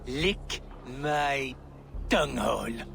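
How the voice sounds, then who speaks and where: An actor playing a crude, mocking Viking raider in a video game, gravelly and insulting, with music behind him. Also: male voice, medium pitch, lively intonation, extremely slow, full character voice. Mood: mocking, defiant. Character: sneering, taunting male Viking raider, crude messenger.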